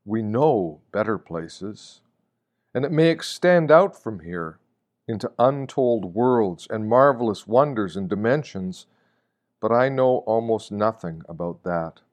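Male reader for a Lenten meditation: grave, deliberate, unhurried delivery in a fairly low register. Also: expressive, darkish tone. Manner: solemn, deliberate, with rhetorical questions. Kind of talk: scripted devotional reading, sermon.

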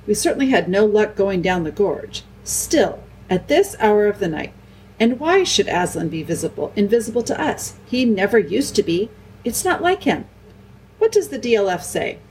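A woman's voice: mid-range voice, very animated, normal speed, darkish tone, slight background noise, voice pushed up, lightly acted, low-quality recording. A woman voicing a weary, responsible eldest brother who reasons things through. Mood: reasoning.

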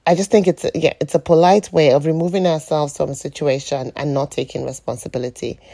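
A middle-aged woman with a croaky morning voice, speaking in a rambling, reflective way on an informal solo podcast. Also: deep voice, fairly even intonation, fast, warm tone. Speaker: podcast host.

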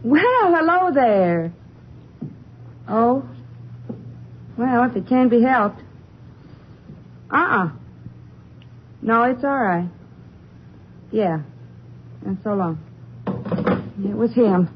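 A woman's voice: fairly high pitch, lively intonation, extremely slow, pauses often, a little hiss or hum. An actress playing an anxious, plain-spoken woman mixed up with a crook, in a 1950s radio drama. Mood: guarded. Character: uneasy working-class young woman, crook's girlfriend. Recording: dull vintage radio broadcast, faint music.

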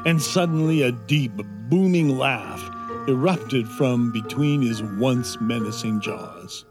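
A man reading scripted narration for a children's audio drama in a measured, dramatic storytelling manner. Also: mid-range voice, music in the background.

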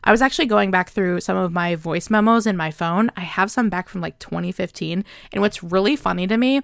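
A young woman with a smooth, clean voice chats earnestly to her viewers. She talks in a loose, reflective way, full of little fillers. The sound is close and dry, with no room around it. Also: mid-range voice, a little fast, dry recording. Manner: chatty, earnest, many fillers like "like" and "right?". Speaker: vlogger. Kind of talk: casual reflective talk to camera.

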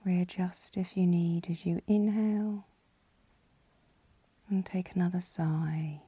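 A woman leading a guided yoga nidra relaxation as the guide, calm and soothing, with a soft, breathy, husky voice. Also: lowish voice, slow pace, no echo, lo-fi sound. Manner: calm, soothing, gently instructing.

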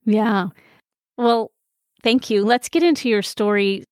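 A woman hosting a faith podcast, narrating an introduction and then asking friendly, measured interview questions. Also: a little high, dry recording, studio quality.

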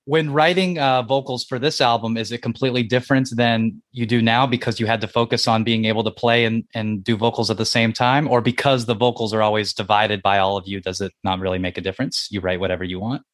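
A man's voice: on the low side, fairly even intonation, fast talker, few pauses, a bit bright, no echo, studio quality. A man hosting a casual interview, laid-back and laughing easily as he asks long questions. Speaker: podcast host.

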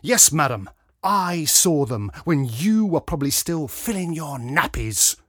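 A man's voice: on the high side, big pitch swings, a little slow, a bit bright, no echo, clean studio sound, full character voice. A man voicing an indignant elderly father with an angry, sarcastic manner in a narrated story. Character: indignant elderly father, sharp-tongued grandad.

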